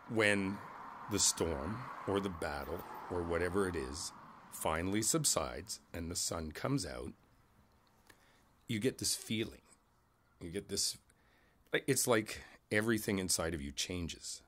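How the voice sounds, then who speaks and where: A man playing a kindly, world-weary older neighbor in an ASMR roleplay, talking in a halting, reflective, rambling way. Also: deep voice, expressive, fairly bright tone, a little hiss or hum, full character voice. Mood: hopeful, reflective. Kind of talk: ASMR roleplay, intimate conversational monologue.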